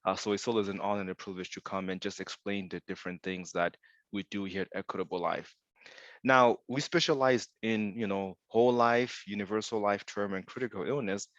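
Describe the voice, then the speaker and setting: A man's voice: fairly low pitch, fairly even intonation, brisk, fairly bright tone, no room sound, highs cut off. A young man from Zimbabwe giving an upbeat webinar presentation, telling stories with asides. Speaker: insurance sales director presenting.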